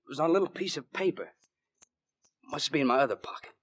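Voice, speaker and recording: A man playing an anxious, simple errand messenger in a 1940s radio drama, with a husky voice and a naive, fretful manner. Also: fairly high pitch, expressive, fast talker, fairly bright tone, no echo. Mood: fretful, eager.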